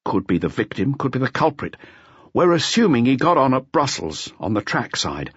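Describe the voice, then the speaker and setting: A man's voice: medium pitch, sing-song, moderate pace, fairly bright tone, breathy edge, no room sound, dull audio, pitched above the performer's own voice. A man voicing a solid, dutiful junior detective of about thirty, reporting in a businesslike manner.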